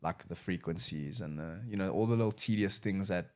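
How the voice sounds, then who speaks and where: Young man, low-ish voice, relaxed slangy guest in an interview. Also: slight background noise. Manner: laid-back, slangy, says "bro" often, quick laughs. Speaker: rapper and producer guest. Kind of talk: casual interview chat.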